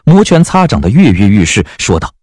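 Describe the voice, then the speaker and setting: A man's voice: lowish voice, big pitch swings, brisk, a little mellow, no room sound. A man narrating a period audio drama as a storyteller, reading scripted prose dramatically.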